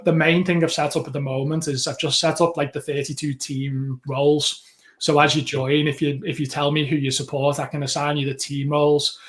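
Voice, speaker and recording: A man with a Liverpool accent, co-hosting a podcast in relaxed, rambling chat. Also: a little high. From England. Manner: chatty, rambling with frequent restarts. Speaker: podcast co-host.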